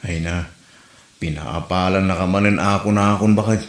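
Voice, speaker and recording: A man's voice: low-pitched, steady tone, moderate pace, close to an everyday voice. A man playing an easygoing, consoling village friend in an Ilocano comedy drama, with a Filipino Ilocano accent. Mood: curious, easygoing. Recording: roomy echo.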